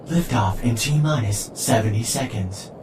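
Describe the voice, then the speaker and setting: A man's voice: moderate pace, natural voice. A man voicing an impassive rocket computer in a game fan dub, making formal announcements. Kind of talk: scripted fan dub acting. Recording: echoing, noisy rumble.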